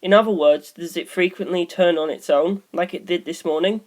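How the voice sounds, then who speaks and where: Woman reading as a measured, inquisitive young detective. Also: on the low side, darkish tone, natural voice. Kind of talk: audiobook character dialogue.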